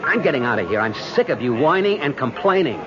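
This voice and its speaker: Man as animated, uneasy wisecracking accomplice. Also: medium pitch, brisk, crowd noise, natural voice. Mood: exasperated. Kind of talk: old-time radio drama acting. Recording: muffled, noisy old radio recording.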